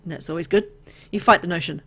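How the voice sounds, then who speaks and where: A woman with a fairly low voice and plenty of vocal fry narrates a story game. She reads the text aloud like a storyteller, then slips into casual, playful remarks of her own as a player. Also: fast, bright, forceful tone. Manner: reacts playfully to the story with exclamations and asides. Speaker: video game let's player.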